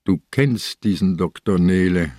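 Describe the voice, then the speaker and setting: An actor playing an old, sick, stubborn police inspector in a radio crime drama, speaking deliberately and insistently. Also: male voice, low-pitched, darkish tone, no room sound, clean studio sound. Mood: probing. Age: older.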